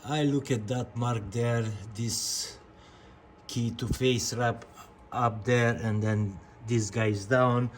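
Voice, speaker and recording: A man with a medium-pitched voice talks at an unhurried, slow pace, like a vlogger showing viewers around a job. He explains things step by step in a casual way and addresses the audience directly. Clear ambient and vehicle noise sits behind him. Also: fairly even intonation. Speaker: vlog host. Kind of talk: casual hands-on vlog talk to viewers.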